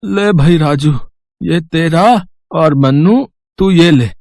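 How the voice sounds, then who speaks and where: A man playing a poor, humble, kind young beggar in a storybook tale, with a gentle, pleading, deferential manner. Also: a little high, takes it slowly, a little hiss or hum. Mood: kind, reassuring. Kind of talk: Hindi storybook character dialogue.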